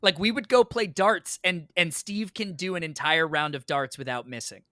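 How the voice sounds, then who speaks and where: An American man chatting casually as a podcast guest, telling stories in an emphatic, sweary, animated way. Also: on the high side, moderate pace, dry recording, clean studio sound. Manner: animated, emphatic, profane storyteller. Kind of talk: casual chat, storytelling.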